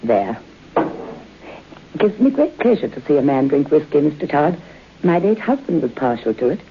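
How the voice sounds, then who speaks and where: An actress playing a genteel, knowing middle-aged widow in a vintage radio suspense play. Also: female voice, low voice, big pitch swings, moderate pace, background noise. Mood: coyly hospitable. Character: genteel, eerie middle-aged widow hostess, coyly hospitable. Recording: muffled tinny old radio broadcast.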